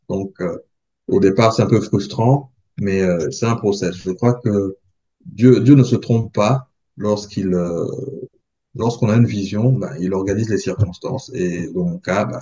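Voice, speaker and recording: A man in his forties, from the Congo, talks in a calm, thoughtful way and often pauses to hesitate. His voice is low and dark. The podcast sound is a little muffled. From Republic of the Congo. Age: 40s. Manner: measured, thoughtful, with frequent hesitations. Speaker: guest. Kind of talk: reflective interview talk.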